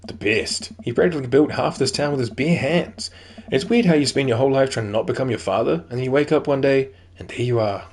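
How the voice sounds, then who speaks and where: A man's voice: medium pitch, fast talker, a little mellow, slight background noise, natural voice. A man with a New Zealand accent voicing a friendly, laid-back middle-aged contractor dad in a dating game. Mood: easygoing.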